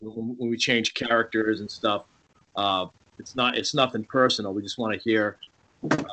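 A man's voice: lowish voice, fairly even intonation, moderate pace, bright, forceful tone, low-quality recording. A man speaking casually and informally as a screenwriter on a group video call. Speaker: screenwriter giving thanks.

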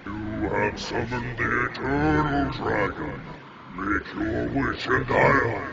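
A man's voice: medium pitch, slow, gravelly, far from the mic. A man voicing a jaded, sarcastic magical dragon in a comedic anime parody dub. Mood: exasperated, weary. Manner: sarcastic, world-weary. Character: jaded, exasperated giant magical wish-granting dragon. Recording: muffled lo-fi dub with loud background noise.

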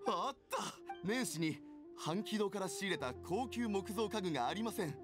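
A petty merchant from an anime game. His delivery is excitable and theatrical, swinging widely in pitch as he grumbles and schemes. Game music is clearly heard behind him. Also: moderate pace. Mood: spiteful, gloating. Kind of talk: Japanese anime game voice acting.

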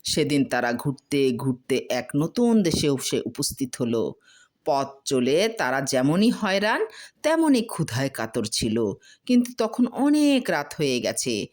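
A woman's voice: low-pitched, very animated, a little slow, darkish tone. A woman storyteller giving a scripted narration of a children's tale.

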